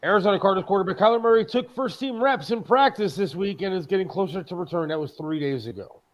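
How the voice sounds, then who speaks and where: A man's voice: high-pitched, expressive, fast talker, muffled recording. A middle-aged man hosting a talk show, chatting and bantering informally with a salty tongue. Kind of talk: casual chat and banter.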